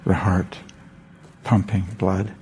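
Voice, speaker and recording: A man leading a guided meditation as a teacher, gentle and unhurried, leaving long pauses and repeating short phrases. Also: low voice, flat delivery, a little hiss or hum.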